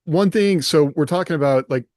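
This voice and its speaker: A man's voice: a little high, darkish tone, no echo, clean studio sound. A man co-hosting a podcast in a casual, roundabout way, as if thinking aloud. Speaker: podcast co-host and writer.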